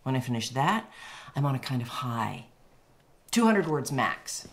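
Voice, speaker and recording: Very low-voiced, imperious writer. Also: female voice, sing-song, unhurried, full character voice. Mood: intense, self-assured. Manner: curt, self-assured, emphatic. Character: blunt, imperious American woman, celebrated writer. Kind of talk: radio drama acting.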